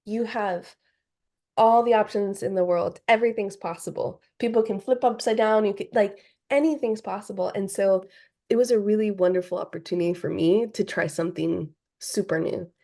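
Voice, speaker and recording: A woman's voice: medium pitch, fairly even intonation, moderate pace, dark tone. A woman from Hawaii, a film director answering interview questions thoughtfully, with frequent ums and restarts.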